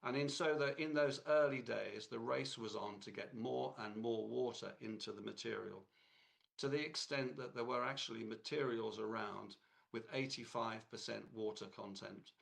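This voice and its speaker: A man with a cold, hoarse, giving a technical lecture in a halting way with many fillers and restarts. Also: mid-range voice.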